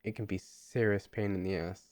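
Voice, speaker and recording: A man's voice: low voice, fairly even intonation, normal speed, a little mellow, no room sound, clean studio sound. A man, talking earnestly and a bit rambling to camera in a casual vlog. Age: 20s. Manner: earnest, rambling, repeats himself. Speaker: vlogger. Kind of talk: casual reflective monologue to camera.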